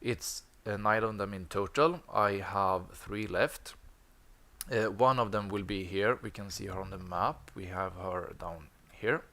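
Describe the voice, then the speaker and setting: A man's voice: fairly low pitch, steady tone, measured pace, pushed and bright. A man streaming a video game, explaining goals in a hesitant, filler-heavy way. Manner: hesitant, many ums and uhs. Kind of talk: casual gameplay commentary.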